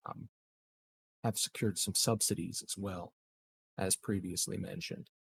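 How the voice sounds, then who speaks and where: A man playing an evasive, scheming leader of a space colony in a tabletop roleplay, speaking in a hesitant, hedging, measured way. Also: low voice, steady tone, creaky, fairly bright tone, a little hiss or hum, heavily acted. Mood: guarded, persuasive. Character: smooth, evasive, scheming male colony leader in science fiction.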